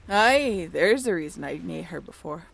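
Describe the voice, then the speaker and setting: A woman playing a young, ambitious sailor of olden days in an audio drama, soft-spoken and wistful, with archaic seafaring phrases. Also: on the low side, expressive, moderate pace, vocal fry, slightly rough, full character voice. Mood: soft, assured.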